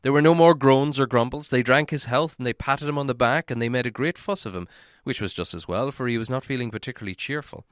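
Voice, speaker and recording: A male audiobook reader narrates a storybook expressively. His voice sits at a medium pitch, and the sound is dry with little room around it. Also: fast talker, no echo.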